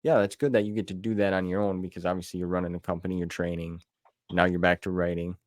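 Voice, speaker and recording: A man's voice: deep voice, little pitch movement, fast talker, no echo, clean studio sound. A man hosting an interview in a casual, conversational style, informal and affirming as he asks his questions. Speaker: podcast interviewer, host.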